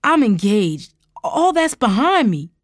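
A woman's voice: fairly high pitch, expressive, takes it slowly, dry recording. A shifty, guilty man, voiced by a woman narrator in an audiobook drama. He stammers and pleads defensively, with a dry, close sound. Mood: nervous pleading. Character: guilty, evasive uncle in his twenties, pleading.

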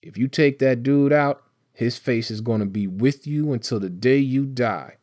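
A man voicing a wiry, sickly, menacing older gun dealer in an audiobook, rough and raspy, with blunt street slang. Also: medium pitch, normal speed, darkish tone, no echo, clean studio sound, close to an everyday voice. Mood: grave warning.

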